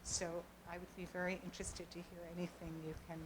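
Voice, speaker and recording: A woman's voice: lowish voice, little pitch movement, quick pace, noisy background. Audience woman asking an earnest question. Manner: earnest, rambling. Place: event hall.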